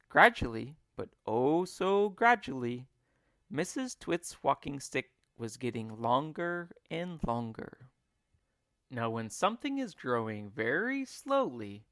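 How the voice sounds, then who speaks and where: A man reading a storybook aloud like a teacher, with expressive, deliberate and emphatic phrasing. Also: medium pitch, no room sound, clean studio sound. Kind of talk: expressive read-along storybook narration.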